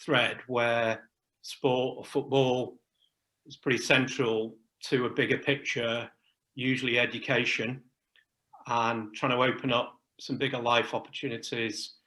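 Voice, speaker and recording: Man, middle-aged, flat delivery, casual self-deprecating chat, video call guest. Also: mid-range voice, a little slow, poor audio. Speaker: charity chair and guest.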